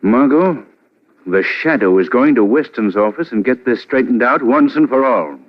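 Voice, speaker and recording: A man playing a cool, resolute gentleman detective, composed and assured, in 1940s radio drama style. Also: medium pitch, expressive, moderate pace, lightly acted. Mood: determined. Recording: dull old radio recording.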